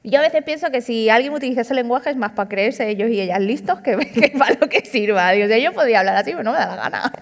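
A woman's voice: a little high, animated, quick pace, hardly any pauses. A woman in her thirties, an author, speaking casually and animatedly at an onstage book talk, tossing in frequent tag questions. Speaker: author and comic artist. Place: large auditorium stage. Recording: long hall reverb.

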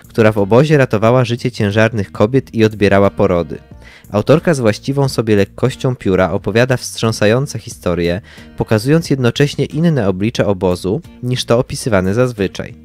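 Young man, low voice, podcast co-host, scripted recommendations, music behind. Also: a little mellow.

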